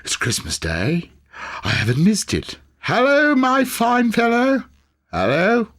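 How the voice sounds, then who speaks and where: An excitable old miser from a Victorian storybook. The voice leaps high and swings widely, stammering and repeating words in breathless exclamations, with the fervor of someone repentant. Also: male voice, takes it slowly, exaggerated voice. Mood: giddy delight. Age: older.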